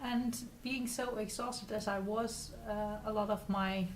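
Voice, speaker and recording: A woman from the Netherlands with a Dutch accent, hosting a casual craft vlog in a halting, rambling way while getting over a cold. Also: mid-range voice, fairly even intonation. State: recovering from a cold. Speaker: podcast host. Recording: distant mic with faint noise.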